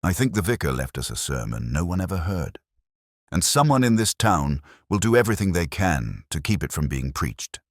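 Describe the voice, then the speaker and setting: A grave police inspector from a mystery audiobook, read by a man, with an extremely low voice. He speaks softly and deliberately, perceptive and serious, in a dry, close sound. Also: sing-song, dry recording. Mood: quietly ominous. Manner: soft, deliberate, murmured.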